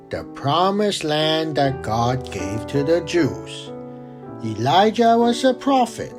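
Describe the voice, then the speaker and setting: Woman voicing a timid, low-pitched storytelling monkey. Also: full character voice. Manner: slow, deliberate, expressive delivery for children. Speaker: storyteller and co-host. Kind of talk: children's puppet show and storybook reading.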